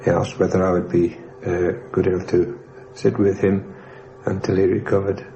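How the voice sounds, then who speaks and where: A man, a former airman, giving a measured, formally worded recollection of the war in a documentary interview. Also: low-pitched, flat delivery, mellow, muffled recording. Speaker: former RAF airman recalling his war experience. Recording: faintly hissy 1960s radio recording.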